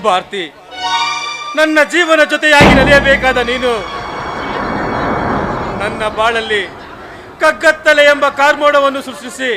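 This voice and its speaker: An actor playing a heartbroken young man who laments his lost love in melodramatic, pleading, poetic speech, over loud background music. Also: very high voice. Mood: anguished. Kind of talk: audio drama acting.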